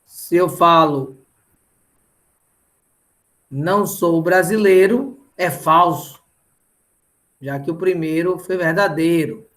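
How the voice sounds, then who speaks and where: A middle-aged Brazilian man lecturing didactically in an online lesson, often checking in with short tag questions. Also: a little high. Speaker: mathematics teacher.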